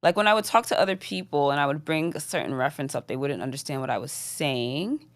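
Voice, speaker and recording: A woman's voice: low-pitched, quick pace, dry recording. A young woman in her twenties from the UK, chatting as a guest in an interview, laughing often and filling with "like" and "um". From United Kingdom. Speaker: guest rapper. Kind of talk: casual interview chat.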